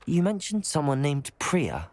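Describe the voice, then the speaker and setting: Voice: mid-range voice, huge pitch swings, unhurried, darkish tone. An actor playing a polite, earnest teenage student in a video game, curious and well-spoken. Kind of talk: video game character acting.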